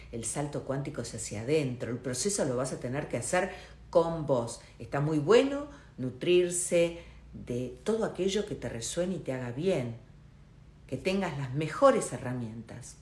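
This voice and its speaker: A woman's voice: deep voice, reverberant. A woman hosting a live online interview, chatting and greeting viewers by name before bringing on her guest. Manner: chatty, warm greetings, quick asides. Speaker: host. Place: home in Miami.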